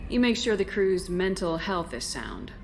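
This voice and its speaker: A woman's voice: lowish voice, sing-song, moderate pace, a little mellow, slight background noise. A woman playing a firm military commander in a video game, direct and controlled. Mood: businesslike. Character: firm, self-assured female military commander.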